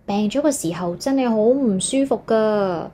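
A woman's voice: medium pitch, normal speed, warm tone, a little hiss or hum. A woman with a Hong Kong Cantonese accent reading a children's story aloud expressively, as if to young listeners.

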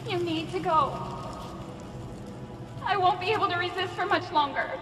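High, strained, desperate wife; echoey, heavy score. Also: female voice, normal speed, noisy background. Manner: urgent, strained. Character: desperate wife fighting a possession. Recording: echoey with loud music.

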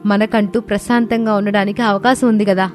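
A woman voicing a resourceful young daughter-in-law in a cartoon story, explaining things calmly and patiently. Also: mid-range voice, fairly quick, soft background music, natural voice.

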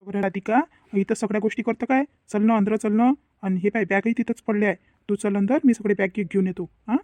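A man's voice: high voice, fairly even intonation, a little fast, warm tone, dry recording, natural voice. A man playing an earnest, protective young husband in a cartoon family drama, with a Varhadi Marathi accent. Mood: earnest, tender. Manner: earnest, explanatory.

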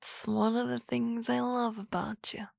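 A drunk young boss, flustered and rambling, drifting between slow talk and soft whispers in a gravelly, unhurried voice. A woman acts her out close to a dry microphone. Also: fairly high pitch, flat delivery, dry recording. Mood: tipsy. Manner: slurry, rambling, often whispering. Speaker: voice actress. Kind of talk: ASMR roleplay.

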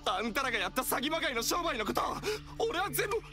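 Earnest defiant young guy in a video game, high lively voice, impassioned. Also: quick pace, over music. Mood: defiant, desperate. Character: earnest, defiant young man held captive. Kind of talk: Japanese video game voice acting.

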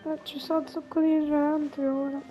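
Female Italian streamer, high voice, flat intonation, casual playthrough reactions, dull muffled mic. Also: brisk.

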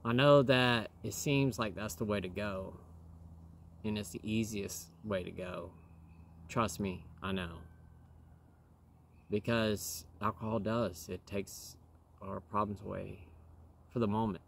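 A man in his thirties with a lowish voice, talking to the camera in a wooded yard with faint outdoor noise behind him. He sounds a little hoarse and clears his throat now and then. He rambles earnestly, with lots of fillers. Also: steady tone, slow, pauses often. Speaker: vlogger. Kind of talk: casual talk to camera. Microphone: camera in front at close range.

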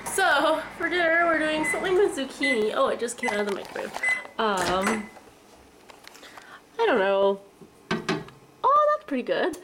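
A woman's voice: sits high, big pitch swings, measured pace, pauses often, very noisy. A woman in her twenties with a congested voice from a cold, chatting casually through a food diary vlog, rambling and laughing often, filmed on a handheld camera in her home kitchen. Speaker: vlogger. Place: home kitchen and living room. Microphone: handheld camera at arm's length.

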